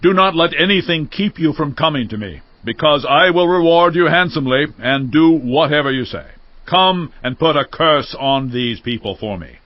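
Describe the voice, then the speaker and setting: Man as a fairly high-voiced royal envoy. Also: lively intonation, moderate pace, no echo, close to an everyday voice. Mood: insistent. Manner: formal, declamatory. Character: formal, persuasive male royal envoy. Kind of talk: dramatized audio Bible acting. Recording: dry, slightly dull studio audio.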